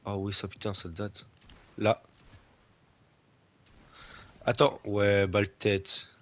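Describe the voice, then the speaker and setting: A man's voice: fairly low pitch, completely flat delivery, takes it slowly. A young man with a stuffy nose from a cold, chatting casually and teasing his friend while playing a video game, rambling with lots of fillers and restarts. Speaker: gaming video creator. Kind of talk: casual gameplay chat with a friend.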